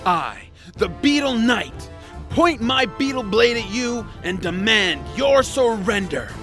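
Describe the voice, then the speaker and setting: A man playing an excitable, kind-hearted young boy hero in a family audio drama, with an earnest, playful delivery. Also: high-pitched, slow, soft background music, exaggerated voice. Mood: excited bravado.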